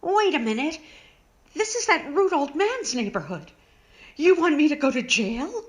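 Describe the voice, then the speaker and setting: A woman's voice: sits high, very animated, a little slow. An actress playing an anxious, indignant woman in a radio mystery drama, protesting in alarm. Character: anxious, indignant woman drawn into a dark bargain.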